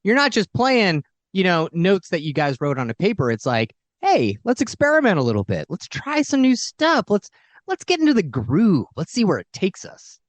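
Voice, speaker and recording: Chatty american lady interviewer, super lively pitch swings, dry room, enthusiastic. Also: normal speed, dry recording. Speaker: interviewer, co-host. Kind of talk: casual interview chat.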